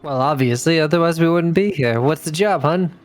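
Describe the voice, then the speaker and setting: A man's voice: fairly high pitch, brisk, soft background music, lightly acted. A man playing a blunt, impatient member of a crime crew in a tabletop game.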